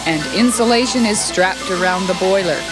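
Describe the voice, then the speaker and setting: A woman reads documentary narration in an even, measured way. Her voice sits in a middle pitch, and music plays clearly beneath her. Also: low-quality recording. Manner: calm, measured storytelling. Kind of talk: scripted narration.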